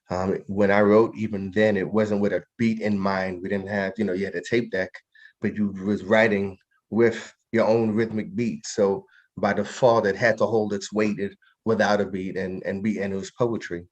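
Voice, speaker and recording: Deep, even-toned man from New York. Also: a little slow. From New York, of a Trinidadian family. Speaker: guest poet. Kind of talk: reflective interview talk.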